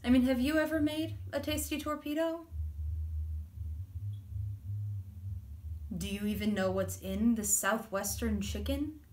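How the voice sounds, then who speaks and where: A woman performing a stage monologue as a frustrated, defiant low-paid sandwich shop worker, blunt, casual and sweary. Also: moderate pace, a little mellow, natural voice. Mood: indignant, defiant. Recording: roomy, distant mic, faint noise.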